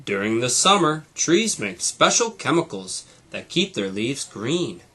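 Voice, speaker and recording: A man's voice: mid-range voice, sing-song, measured pace, pushed and bright, husky, heavily acted. A man voicing a helpful, knowledgeable talking airplane radio that announces and explains things in a storybook. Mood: explaining.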